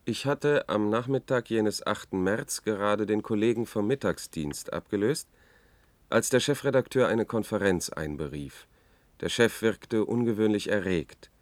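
An actor playing a troubled news editor, recounting events in a measured, reflective way in a 1970s German radio drama. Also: male voice, lowish voice, dry recording, lightly acted. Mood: sober, tense. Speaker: radio play narrator.

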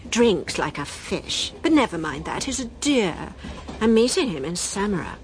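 A woman's voice: medium pitch, very animated, moderate pace, slight background noise, close to an everyday voice. An actress playing a brash, gossipy, much-married older Englishwoman in a radio drama, gushing and teasing with theatrical gasps and giggles, shameless and merry. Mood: breezy, teasing.

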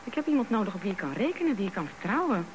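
An actress playing the brisk, impatient wife of a businessman in a radio drama. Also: mid-range voice, very animated, natural voice. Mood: exasperated, irritated. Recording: noisy radio drama recording.

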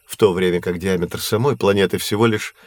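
An actor playing a patient, knowledgeable astronomy professor who explains things in a measured, didactic way in an educational audio drama. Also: male voice, deep voice, fairly even intonation, no room sound, close to an everyday voice. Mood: instructive. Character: male astronomy professor, patient, knowledgeable teacher of curious children.

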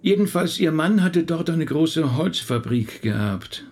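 A man's voice: on the high side, lively intonation, a little slow, a little mellow. A male actor playing a middle-aged rabbi in a naturalistic radio drama, thoughtful, talkative and a little hesitant as he recounts family history. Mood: reflective, reminiscing. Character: thoughtful male rabbi recounting his late relative's life.

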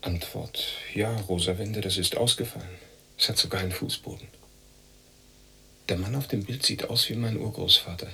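An actor playing a sardonic, restless young East German loner in a radio drama, speaking in a clipped deadpan. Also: male voice, lowish voice, normal speed, creaky, breathy edge, full character voice, low-quality recording. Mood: wry, deadpan. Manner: clipped, deadpan, asks and answers himself. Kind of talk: radio drama acting, part inner monologue.

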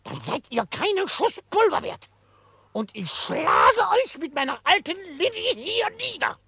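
A quirky old trapper of the Wild West in a radio play. His voice is gravelly and swings up and down as he grumbles and blusters with gruff fondness. The sound is close and dry. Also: very high voice, unhurried, no room sound, full character voice. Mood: outraged. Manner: excitable, grumbling, chuckling, theatrically indignant. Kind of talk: German radio drama acting.

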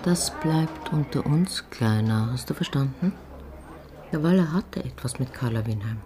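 Man playing a mellow, conspiratorial theatre colleague. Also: mid-range voice, lively intonation, faint music behind, close to an everyday voice. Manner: conspiratorial, deliberate, sardonic. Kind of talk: radio drama dialogue.

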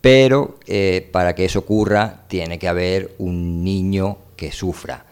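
A man hosting a radio show, talking casually about books in a hesitant, halting way. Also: low-pitched, steady tone, a little mellow, clear, resonant tone. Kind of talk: casual book talk.

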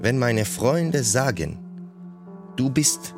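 A man speaking haltingly in an archive clip, repeating his phrases as he goes. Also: medium pitch, very animated. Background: music.

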